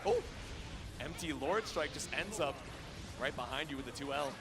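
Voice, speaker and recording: A man calling a fighting game match in excitable, clipped play-by-play phrases. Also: fairly high pitch, normal speed. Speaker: esports commentator. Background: music.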